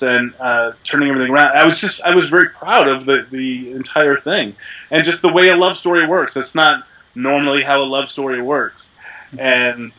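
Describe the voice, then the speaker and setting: A man who is a voice actor, talking casually in an interview with a deep, resonant voice while a little sick, rambling with many fillers. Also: sharp tone, muffled recording. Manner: chatty, rambling, self-deprecating with frequent fillers. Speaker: voice actor guest.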